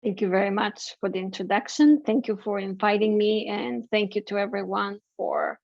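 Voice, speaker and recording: A woman from Bulgaria gives a political science lecture over a video call, her speech measured and dotted with fillers and restarts. The audio is a little muffled and dry, with no room sound. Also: medium pitch, fairly even intonation, gravelly, darkish tone, dry recording. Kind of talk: academic presentation.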